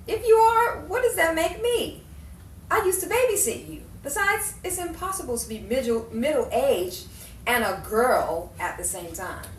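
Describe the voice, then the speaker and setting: A woman acting a bossy, quick-witted older friend in a 1950s Hollywood-style audio drama, brisk and insistent as she encourages. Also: sits high, expressive. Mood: bossy, teasing. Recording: roomy, distant mic, faint background noise.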